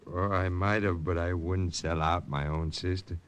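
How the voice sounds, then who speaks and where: A man's voice: low voice, steady tone, normal speed, smooth, close to an everyday voice. An actor playing a smug, sly younger man, an informer with a falsely casual, needling manner, in old-time radio drama. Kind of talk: 1940s-50s radio drama acting.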